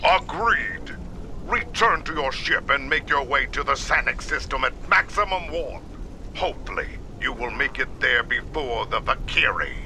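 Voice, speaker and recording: An actor playing a stern, commanding Klingon chancellor in a video game, grave and dramatic, with faint noise behind. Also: male voice, mid-range voice, expressive, moderate pace, close to an everyday voice.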